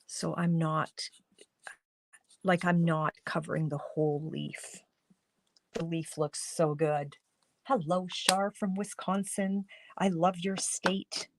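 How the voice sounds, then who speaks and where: Woman host of a live craft stream, slightly hoarse, slow and chatty, greets viewers as she works. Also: on the low side, darkish tone. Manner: chatty, thinks aloud while coloring, greets viewers by name. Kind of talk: casual live crafting demo talking to viewers.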